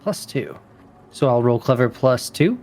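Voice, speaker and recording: Gravelly, informal male tabletop player. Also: medium pitch, lively intonation, a little slow, a little mellow. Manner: informal, slangy. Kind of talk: casual chat during a tabletop game.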